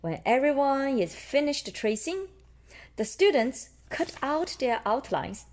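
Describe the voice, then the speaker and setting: A woman's voice: high voice, sing-song, slow pace, a little mellow. A woman reading picture books aloud for young children and explaining things simply. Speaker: storybook reader.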